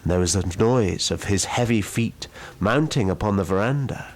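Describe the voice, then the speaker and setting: Male storyteller, low-pitched, dramatic narration of eerie tales. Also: lively intonation, moderate pace. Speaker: storyteller reading a ghost story.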